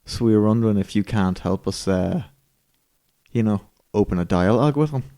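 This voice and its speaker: A man playing a tabletop game, making quick, jokey remarks. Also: on the low side, warm tone. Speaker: player.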